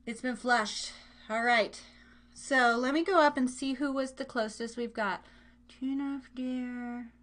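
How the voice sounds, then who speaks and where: A woman's voice: high-pitched, fairly even intonation, takes it slowly, fairly bright tone. A woman hosting a live internet radio show, chatting casually in a playful, rambling way and repeating her phrases as she runs games.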